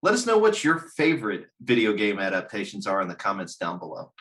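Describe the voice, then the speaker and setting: Bright, lively man hosting a chat. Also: fairly low pitch, a little fast. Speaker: host. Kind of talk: casual chat.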